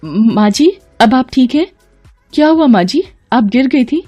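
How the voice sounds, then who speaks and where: A woman's voice: sits high, animated, a little slow, dark tone, voice pushed up. Storybook young daughter-in-law, played by a female narrator: caring, anxious, respectful, light music underneath.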